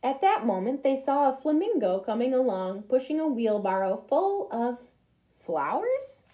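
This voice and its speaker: A young woman reading a picture book aloud to children, expressive, with little asides to her listeners. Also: high-pitched, unhurried, muffled recording. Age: young adult. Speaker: library storytime reader.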